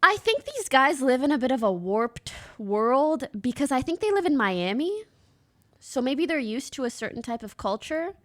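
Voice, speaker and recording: A woman's voice: sits high, very animated, normal speed. A woman in her twenties from Canada, giving blunt, casual commentary on a stream and swearing now and then.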